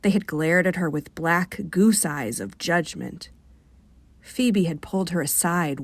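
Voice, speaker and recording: A woman with a Rochester, New York accent reading a short story for radio in an expressive, wry manner. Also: fairly low pitch, breathy edge, dry recording. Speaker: author reading aloud.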